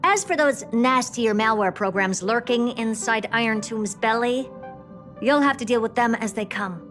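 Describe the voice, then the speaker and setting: High-pitched woman playing a cocky young genius. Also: normal speed, a bit bright, soft background music, lightly acted. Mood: warning, blunt. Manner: dry, sassy, casually superior. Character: cocky, blunt young female genius, flippant. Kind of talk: scripted game character dialogue.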